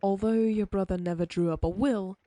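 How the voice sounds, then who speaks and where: A woman's voice: medium pitch, fairly even intonation, normal speed, mellow, close to an everyday voice. A woman voicing a prim, sympathetic frontier lawyer in an audio roleplay, speaking formally with old-fashioned phrasing. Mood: calm, polite.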